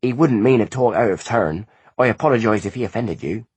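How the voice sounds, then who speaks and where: A man's voice: lowish voice, expressive, a little fast, a little mellow, husky, no room sound, voice pushed up. A man voicing a grieving peasant mother. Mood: anxious proud. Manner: pleading, sorrowful.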